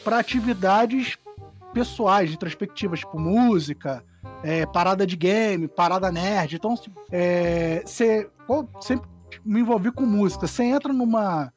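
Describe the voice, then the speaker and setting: A man's voice: sits high, a little slow, a little mellow, faint music behind. A man in a casual podcast chat among friends, slangy and swearing, rambling with many restarts.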